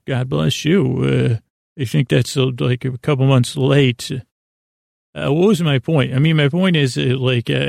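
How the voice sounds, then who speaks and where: A man hosting a sleep podcast, rambling gently with many fillers and restarts. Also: medium pitch, gravelly, no room sound, studio quality. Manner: soft and gentle. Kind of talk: rambling bedtime podcast intro.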